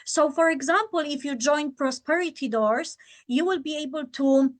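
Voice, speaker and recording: Woman, Polish accent, high-pitched, encouraging sales coach, casual live-stream talk. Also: moderate pace. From Poland. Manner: chatty, encouraging, many fillers.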